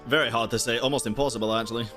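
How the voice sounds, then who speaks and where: A man's voice: mid-range voice, steady tone, very fast, over music. A man streaming a video game, reacting casually in slangy, informal talk. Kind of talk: casual live gameplay reaction.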